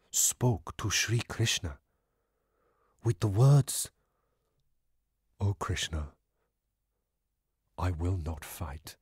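Male narrator, solemn and measured, low husky voice, dry studio sound. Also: darkish tone, no echo. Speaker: audiobook narrator. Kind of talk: scripted narration of scripture.

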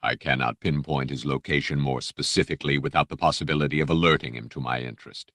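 Menacing dark lord, played by a male narrator: extremely low, silky, formal and measured, very dry audiobook sound. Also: steady tone, dry recording. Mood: commanding. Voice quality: deep, rich, silky, mellifluous. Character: menacing, coldly polite armored dark lord. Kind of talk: audiobook character voice.

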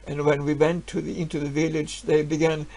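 A man playing a gravelly, grieving peasant. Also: on the high side, level tone. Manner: halting, swinging from hushed to loud. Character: grieving poor male peasant farmer, stubborn. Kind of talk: dramatic storytelling monologue.

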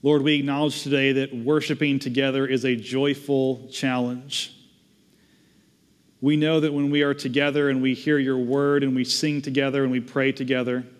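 Southern pastor preaching in a reverberant sanctuary. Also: male voice, medium pitch. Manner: conversational, self-deprecating preaching, then earnest prayer. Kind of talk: sermon with closing prayer. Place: large church sanctuary, at a pulpit. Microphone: pulpit microphone. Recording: long reverb of a church hall.

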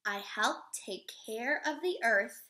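A woman in her thirties, a teacher reading a picture book aloud to young children in an expressive, instructive way. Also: on the high side, a little slow. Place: living room at home, indoors.

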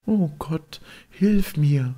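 A man's voice: a little high, animated, drawn-out words, warm tone, pitched above the performer's own voice, exaggerated voice. A man voicing a poor, pious young girl in a fairy tale, pleading earnestly. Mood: pleading prayer. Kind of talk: fairy-tale audiobook character voice.

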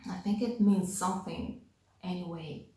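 A young woman from Russia with a thick Russian accent, speaking careful, slightly halting English in a personal vlog to camera. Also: fairly low pitch, warm tone, reverberant, poor audio. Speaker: vlogger. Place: small home room with a piano. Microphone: handheld clip-on mic held near the mouth.

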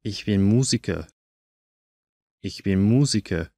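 A man teaching a language course, saying each short phrase twice in slow, careful drills. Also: lowish voice, dark tone, dry recording.

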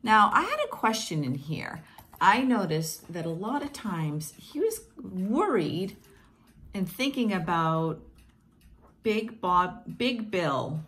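A woman whose first language is Portuguese reads picture books aloud to children in a gentle, encouraging, singsong way. She is filmed at home on a close webcam. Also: medium pitch, big pitch swings, takes it slowly. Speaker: teacher reading picture books. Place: home room with plants, indoors. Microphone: webcam at close range.

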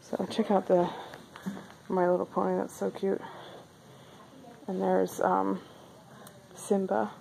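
A woman's voice: medium pitch, little pitch movement, takes it slowly, gravelly, long pauses, warm tone, a little hiss or hum, low-quality recording. Chatty woman filming a craft bazaar. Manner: chatty, upbeat, filler-heavy. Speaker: vlogger. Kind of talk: casual walk-through vlog. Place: indoor craft bazaar hall.

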